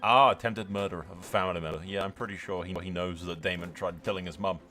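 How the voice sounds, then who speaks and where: A man hosting a game playthrough, commentating in an excitable, sweary, bantering way. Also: deep voice, fast, faint music behind. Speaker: host of a gaming channel. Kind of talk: playthrough commentary with in-character narration.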